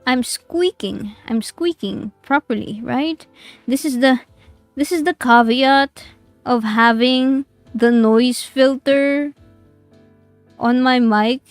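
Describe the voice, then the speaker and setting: A woman streamer with a high, squeaky voice chats and giggles with her viewers while she plays. She rambles loosely from one thought to the next. The sound is close and dry, with faint game music underneath. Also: takes it slowly, dry recording. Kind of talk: casual game-stream chatter.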